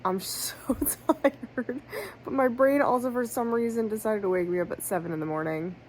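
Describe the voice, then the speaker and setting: A woman's voice: a little high, moderate pace, a little mellow. Tired, congested chatty woman vlogger. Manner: rambling, self-correcting, fillers like "like". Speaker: book vlogger. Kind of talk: casual chatty vlog. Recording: faint background noise, lo-fi phone clip.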